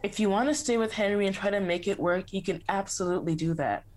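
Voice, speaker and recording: A woman with a Canadian accent plays a calm, absent-minded therapist who gives stock advice. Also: mid-range voice, fast talker, natural voice. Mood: detached. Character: distracted, bland female therapist.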